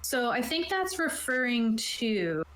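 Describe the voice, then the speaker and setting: A woman's voice: on the high side, big pitch swings, slow, fairly bright tone, slight background noise. A woman casually explaining game rules on a tabletop stream, reading aloud and then putting it in informal plain terms. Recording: roomy, echoey sound.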